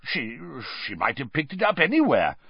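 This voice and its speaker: A man's voice: medium pitch, wildly swooping pitch, moderate pace, breathy edge, no room sound, dull audio, voice pushed up. Give me a man acting a pushy blustering wizard who roars his commands. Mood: flustered defensive. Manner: roaring, then muttering defensively. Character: blustering, pushy middle-aged wizard official.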